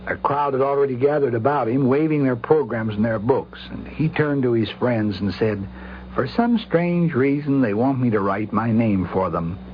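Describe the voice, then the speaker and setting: A man giving a formal spoken recollection for radio. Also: mid-range voice. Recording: muffled, noisy 1950s radio recording.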